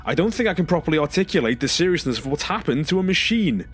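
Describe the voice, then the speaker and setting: Actor playing a husky, indignant, exasperated man. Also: on the high side, very animated, quick pace, soft background music. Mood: frustrated. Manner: indignant, pleading, emphatic. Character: distressed, sarcastic, exasperated man, traumatised survivor of a pod. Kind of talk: audio drama acting.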